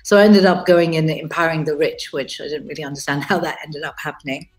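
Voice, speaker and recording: Low-voiced British-accented woman, chatty. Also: fast talker. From Kenya. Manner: chatty, with many fillers and restarts. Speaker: guest artist. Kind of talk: casual talk about her life on a livestream.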